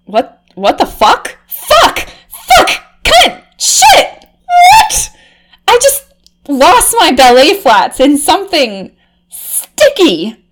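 A woman voicing a flustered, easily aroused undercover reporter, swinging from hushed murmurs to shrill cursing. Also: sing-song, slow pace, exaggerated voice. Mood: shrieking. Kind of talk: comedic erotic audio drama monologue.

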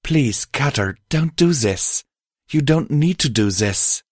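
A caring alicorn mare acted out by a man from a fantasy story, pitched well above his normal speech. The delivery is earnest and pleading, with a close, dry sound. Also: animated, measured pace, dry recording.

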